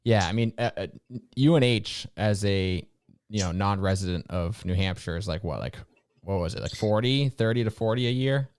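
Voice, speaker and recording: Low-voiced casual male podcast host. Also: normal speed, a little mellow, clean tone, no room sound, clean studio sound. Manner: informal, says dude and like. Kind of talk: casual interview.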